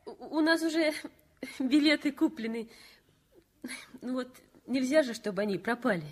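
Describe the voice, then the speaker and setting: An actress playing an earnest young nurse in a 1960s radio play, reassuring in a warm, gently insistent way. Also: female voice, sits high, lively intonation, moderate pace. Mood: tender. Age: young adult.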